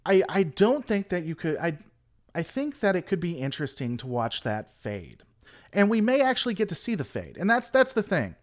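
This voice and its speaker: A man's voice: sits high, big pitch swings, moderate pace. A man hosting a casual livestream, rambling through an opinionated critique and answering chat. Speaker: livestream host.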